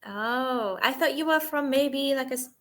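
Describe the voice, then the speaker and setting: A chatty woman in her twenties tutors English over a video call. She giggles often and keeps asking questions. Her voice sits a bit high. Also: measured pace. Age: 20s. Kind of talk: casual video-call conversation lesson.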